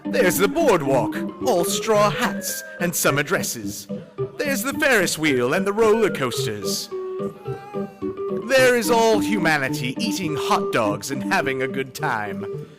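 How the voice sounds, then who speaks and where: A man delivers dramatic suspense narration as a radio announcer, with loud music behind him. His pace is very slow and deliberate, and his voice is a little high for a man. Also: very animated.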